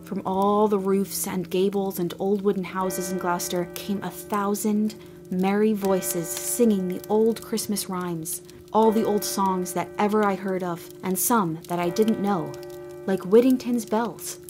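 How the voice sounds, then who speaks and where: A woman's voice: mid-range voice, measured pace. Woman narrating a storybook over music. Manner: gentle, expressive storytelling. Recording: music behind the voice.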